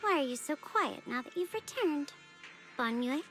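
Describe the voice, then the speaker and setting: A woman's voice: high-pitched, animated, normal speed, fairly bright tone. A woman voicing an inquisitive young female adventurer in a video game, with music behind her. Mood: concerned. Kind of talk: scripted game voice acting.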